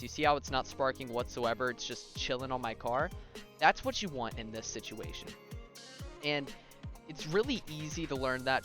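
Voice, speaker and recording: A young man with a mid-pitched voice talks through a gaming tutorial in a casual, informal way. He addresses viewers directly and checks in as he explains. Faint music plays behind him. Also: fairly bright tone. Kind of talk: casual video game tutorial commentary.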